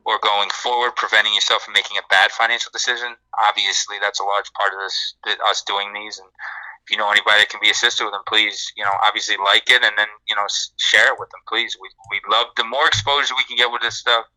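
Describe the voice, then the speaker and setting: A man's voice: on the low side, level tone, fast, bright, forceful tone, no echo, poor audio. A man co-hosting a live credit podcast, explaining answers to listener questions at length and restarting his words often. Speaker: credit repair co-host.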